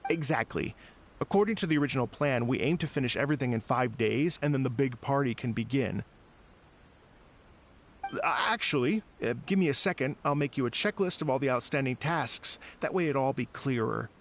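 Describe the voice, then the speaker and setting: A man's voice: mid-range voice, animated, fairly quick, slight background noise, natural voice. A man playing an earnest, courteous and slightly hesitant festival organizer in a video game. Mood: grateful, earnest. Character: earnest polite gentleman music festival organizer.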